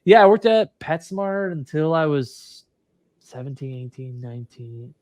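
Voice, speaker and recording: A man in his thirties hosting a casual podcast interview, relaxed and quick to laugh, with plenty of filler words. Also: on the high side, dry recording. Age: 30s. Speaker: podcast host.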